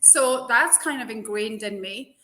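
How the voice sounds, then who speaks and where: Bright, lively Glaswegian woman. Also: a little high, a little slow. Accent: Glaswegian Scottish. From Scotland. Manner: lively, laughing, tough and forthright. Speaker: guest. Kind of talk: casual interview chat.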